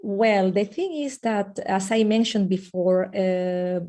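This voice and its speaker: Hesitant woman from Peru, mellow tone. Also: mid-range voice, slow. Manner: hesitant, many fillers. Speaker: early childhood teacher. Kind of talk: webinar conversation. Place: lives in the Netherlands. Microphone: video call.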